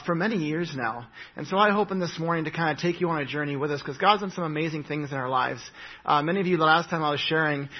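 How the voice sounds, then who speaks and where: Husky guy preaching in a church, kinda high voice, chatty and earnest, lots of uh's and restarts. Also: highs cut off. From Papua New Guinea. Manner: earnest, conversational, many fillers and restarts. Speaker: visiting missionary speaker. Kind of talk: sermon.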